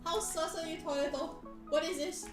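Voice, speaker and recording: A woman's voice: very high voice, steady tone, moderate pace. A woman hosting a casual live stream chat, talking to viewers in a playful, teasing, giggly manner. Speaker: livestream host. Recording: echoey room, music behind voice. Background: soft background music.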